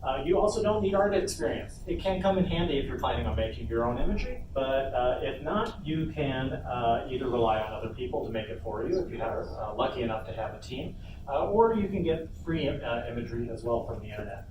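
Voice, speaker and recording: A man presents a workshop in an informal, explanatory style. His medium-pitched voice is picked up from a distance in a roomy, echoing convention space. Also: expressive, fairly quick. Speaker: workshop presenter, game developer. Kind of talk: informal instructional talk to an audience. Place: indoor convention panel room. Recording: roomy echo, distant mic, noisy convention room.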